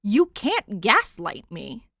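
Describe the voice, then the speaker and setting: A woman's voice: medium pitch, wildly swooping pitch, slow pace, vocal fry, pushed and bright, no room sound, voice pushed up, full character voice. A woman voicing an exasperated, protective young male masked vigilante, weary and dry, in an audiobook story. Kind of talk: audiobook character dialogue.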